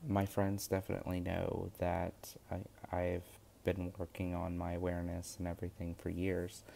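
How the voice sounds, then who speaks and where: Young guy rambling about his course lessons, super low flat voice, lots of um and you know. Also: moderate pace, gravelly, slight background noise. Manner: rambling, hesitant, many fillers and restarts. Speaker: witchcraft course student vlogger. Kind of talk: casual spoken vlog.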